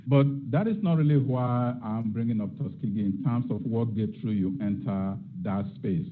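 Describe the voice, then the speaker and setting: A man's voice: on the low side, normal speed, narrow, tinny audio. A middle-aged man from Nigeria, giving an academic lecture and posing rhetorical questions as a guest lecturer. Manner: reflective, asks rhetorical questions.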